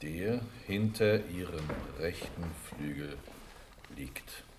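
An actor playing a dreamy, lovestruck, absent-minded young Prussian prince in a classical German radio play, with an impulsive, distracted delivery. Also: male voice, sits low, slow pace, a little mellow, slight background noise. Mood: absent, murmuring.

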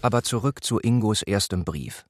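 A man reading a scripted voice-over translation in a calm, even delivery. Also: lowish voice, normal speed, no echo, studio quality. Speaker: German voice-over narrator. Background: faint guitar music.

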